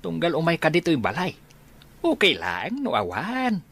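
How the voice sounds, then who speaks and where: An actor playing a hospitable, teasing middle-aged father in a radio drama, with an Ilocano accent and a husky voice. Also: fairly high pitch, wildly swooping pitch, moderate pace, fairly bright tone, slight background noise, full character voice. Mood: welcoming.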